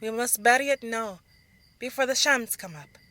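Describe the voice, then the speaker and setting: A woman's voice: on the high side, moderate pace, bright, forceful tone, dry recording, voice pushed up. A woman voicing a protective, serious older sister, a young dancer, in an audio drama story. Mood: earnest.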